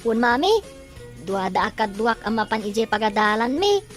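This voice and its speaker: An actress playing a daughter in an Ilocano audio drama. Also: female voice, fairly high pitch, sing-song, normal speed, soft background music. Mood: dutiful. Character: young daughter chatting with her mother. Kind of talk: Ilocano radio drama acting.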